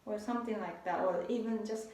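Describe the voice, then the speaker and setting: A young adult woman from Japan, speaking English with a Japanese accent, giving a casual, halting language lesson as a tutor. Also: medium pitch, darkish tone, recorded from a distance. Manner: unhurried, halting, restarts phrases. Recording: echoey room, voice off-mic.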